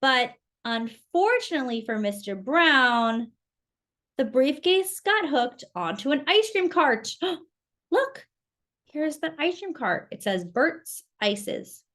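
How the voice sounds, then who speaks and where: A woman reading a picture book aloud to young children in an expressive, exclamatory way, with chatty asides and questions. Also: high-pitched, slow pace. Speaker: children's storytime reader.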